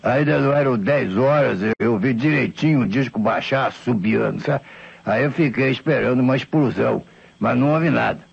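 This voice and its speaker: An actor playing an old, tipsy Brazilian vagabond in a radio mystery serial, husky and stammering as he rambles humbly. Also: medium pitch, normal speed. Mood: awed, insistent. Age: older. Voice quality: gravelly, husky. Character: old tipsy male vagabond beggar, frightened, insistent witness. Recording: muffled vintage radio drama recording.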